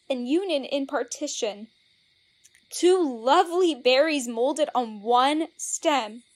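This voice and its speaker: An actress playing a spurned, indignant young woman in a Shakespearean audio drama, declaiming verse theatrically. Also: high-pitched. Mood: aching, nostalgic.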